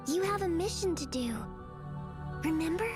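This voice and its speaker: A woman playing a gentle, wistful young sister in a dramatic video game scene. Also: very high-pitched, animated, normal speed. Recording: loud new-age music under the voice.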